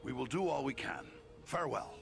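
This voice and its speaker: An actor playing a sturdy, plain-spoken dwarf warrior in a fantasy game. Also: male voice, mid-range voice, animated, a little fast, gravelly, music in the background. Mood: friendly.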